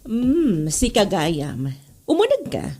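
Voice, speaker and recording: A woman playing an easily charmed schoolteacher in a comedy audio drama, coy and expressive, with a Northern Philippine accent. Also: fairly high pitch, takes it slowly, a little hiss or hum, full character voice. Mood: businesslike. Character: female schoolteacher, stern reputation but easily charmed.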